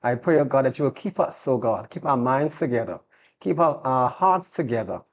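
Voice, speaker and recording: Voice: mid-range voice, expressive, brisk. A talk-show host, who speaks earnestly and prayerfully, affirms the guest often, and asks interview questions.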